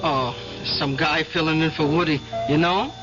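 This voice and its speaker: An actress playing a friendly, attentive cocktail waitress in a radio drama, chatty, breezy and colloquial. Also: female voice, low voice, fairly even intonation, normal speed, lightly acted. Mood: chatty, concerned. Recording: muffled old radio recording with music.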